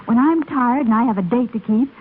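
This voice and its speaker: Lady acting chipper young gal in an old radio ad skit, highish voice, muffled crackly. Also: brisk, lightly acted. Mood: breezy. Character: breezy young woman chatting with her friend. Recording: muffled scratchy 1930s radio broadcast.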